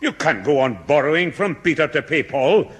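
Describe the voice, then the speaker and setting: A man's voice: a little high, expressive, normal speed, fairly bright tone, breathy edge. An actor playing an exasperated, moralising old Scottish grandfather, preachy and indignant, in a 1960s radio sitcom. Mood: exasperated, scolding. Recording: 1960s radio comedy broadcast.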